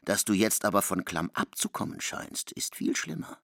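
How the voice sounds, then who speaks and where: A man with a gravelly voice narrating literary prose and quoted dialogue in a German radio play. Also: low voice, big pitch swings, moderate pace, fairly bright tone, no room sound, studio quality.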